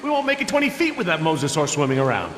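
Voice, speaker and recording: Casting: stressed civilian father for a video game, higher-pitched, lively emotional swings, roomy echo. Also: slight background noise. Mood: anxious, frustrated. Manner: urgent, emotional, halting under stress. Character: anxious, protective father, a betrayed civilian. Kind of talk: dramatic video game voice acting. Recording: echoey hall reverb with music.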